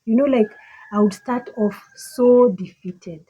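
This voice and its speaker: A woman with a Kenyan accent and a slightly husky voice, giving an emphatic, deliberate motivational monologue that repeats phrases for effect. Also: a little high, highs cut off. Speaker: motivational speaker.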